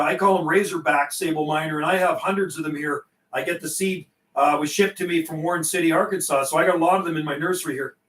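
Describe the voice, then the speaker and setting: A man's voice: fairly high pitch, a bit bright, echoey room, far from the mic, rough recording. A middle-aged man chatting casually over CB radio on a livestream, folksy and given to repeating himself. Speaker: CB radio operator and livestream host.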